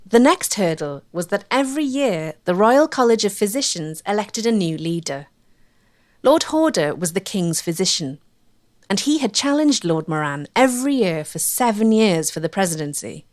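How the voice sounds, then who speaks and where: A woman narrates a documentary from a script. Her pitch is middling and the sound is close and dry, free of room echo.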